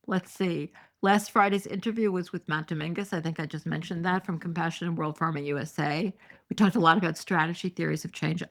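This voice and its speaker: A woman's voice: fairly low pitch, gravelly, fairly bright tone. A middle-aged woman hosting a solo podcast, reading news articles and adding sarcastic, indignant asides. She talks with many fillers and is losing her voice. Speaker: podcast host.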